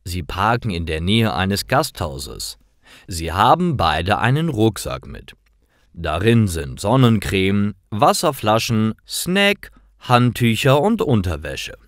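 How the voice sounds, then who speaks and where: A man narrating simple scripted stories for language learners in a careful, expressive way. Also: on the low side, takes it slowly, no room sound, clean studio sound. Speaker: storyteller for German learners.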